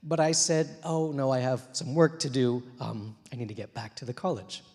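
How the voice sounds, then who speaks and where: A man in his forties, a little hoarse from talking a lot, speaks on a theater stage into a handheld mic. His telling is reflective and gently humorous, full of small pauses and fillers, and his middle-pitched voice trails off into the long reverb of the hall. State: slightly hoarse from many interviews. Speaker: translator and poet. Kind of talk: storytelling talk on stage. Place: theater stage, dark room.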